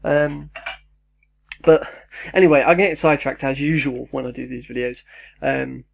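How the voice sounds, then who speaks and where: A man's voice: medium pitch, normal speed, creaky. A young man, rambling casually and self-deprecatingly in his home kitchen as a YouTuber. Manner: chatty, sidetracked, self-deprecating.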